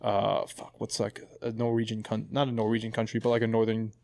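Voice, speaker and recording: A man's voice: lowish voice, completely flat delivery, brisk, gravelly, a little mellow, no echo. A man in his late twenties hosting a casual podcast chat in a lodge, rambling, jokey and often correcting himself. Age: 20s. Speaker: podcast host. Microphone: podcast mic.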